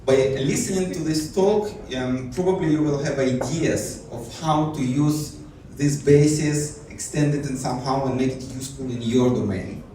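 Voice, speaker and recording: A man from Israel gives a technical talk in a large, echoey hall, heard from a distance off the mic with a faint hiss behind him. His voice is dark and mellow and sits at a middle pitch. He talks hesitantly, with many fillers and restarts. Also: moderate pace. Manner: hesitant, many fillers and restarts, light self-amused laughs. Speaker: conference speaker. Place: conference hall.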